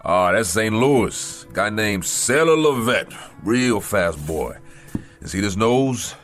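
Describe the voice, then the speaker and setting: An actor playing a weary, aging, battered prizefighter, gentle and self-doubting, in a folksy, reflective manner in a vintage television drama. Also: male voice, lowish voice, animated, slow. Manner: folksy, plain-spoken, reflective. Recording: archival TV soundtrack with music.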